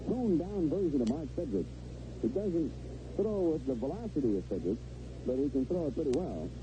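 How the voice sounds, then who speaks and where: Male radio baseball play-by-play voice, animated and on the high side, noisy vintage broadcast. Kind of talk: live sports commentary.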